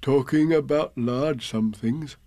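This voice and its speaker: A man voicing a simple, humble bear in a children's storybook, with a plaintive, gentle manner. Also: a little high, dry recording, voice pushed up. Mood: dreamy. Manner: plaintive, gentle, slightly slow-witted.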